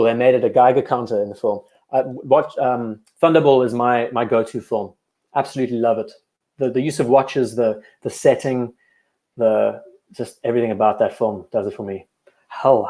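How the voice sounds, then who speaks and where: A young man from Cape Town chats casually on a livestream, his South African accent clear. He sounds relaxed and keen, trailing off into fillers and repeating himself as he thinks aloud. His voice sits at a middle pitch for a man. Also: normal speed. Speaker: livestream host.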